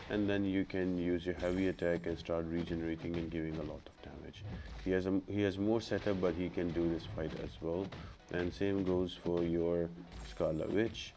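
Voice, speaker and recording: Low-pitched man narrating a game guide, noisy recording. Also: fairly even intonation, normal speed. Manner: instructional, addresses viewers as guys. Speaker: gaming video host. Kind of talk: unscripted game guide commentary.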